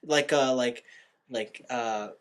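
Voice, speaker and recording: A man's voice: mid-range voice, takes it slowly. A man hosting a casual movie review podcast, giving opinions and often rewording himself as he goes. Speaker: podcast host.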